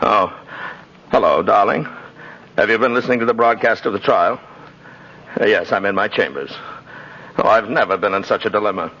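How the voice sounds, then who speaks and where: A man's voice: fairly low pitch, moderate pace, pauses often, pushed and bright, husky. An actor playing a worried judge who fears for his job, hesitant and exasperated, in 1950s radio drama style. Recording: dull old 1950s radio recording.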